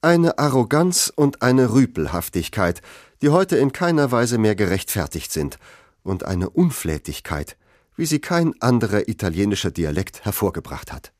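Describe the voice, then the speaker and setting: A man's voice: fairly low pitch, big pitch swings, moderate pace, no room sound, studio quality. A man narrating a scripted literary essay for radio.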